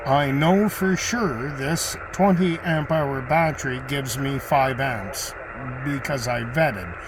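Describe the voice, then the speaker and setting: A man giving an unscripted gear review in a measured, halting way with deliberate pauses. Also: mid-range voice, background noise. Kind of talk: unscripted product review talk.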